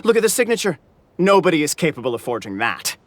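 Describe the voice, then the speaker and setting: A man's voice: fairly high pitch, very animated, fast talker, dry recording. A man voicing a smug, theatrical, sarcastic clansman in a video game, with a grandiose, mocking delivery. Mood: grandiose, triumphant. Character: smug, theatrical, sarcastic male clan member. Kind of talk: video game character acting.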